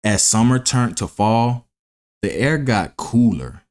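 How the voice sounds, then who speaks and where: A man narrating a scripted children's story as a read-aloud, deliberate and expressive. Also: medium pitch. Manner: deliberate, expressive, enunciating for young listeners.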